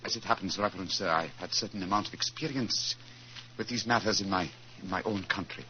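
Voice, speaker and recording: Brisk detective, low, forceful voice, assured and clipped, muffled old radio drama. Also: male voice. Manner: clipped, deductive, commanding. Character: brisk, assured male English detective in disguise. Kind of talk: 1940s radio acting. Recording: muffled, noisy 1940s radio recording.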